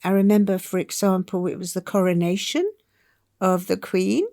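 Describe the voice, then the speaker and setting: An older woman from Iran, a writer telling her life story in a thoughtful, unhurried way with soft pauses. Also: medium pitch, steady tone, dry recording, studio quality. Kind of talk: reflective personal storytelling.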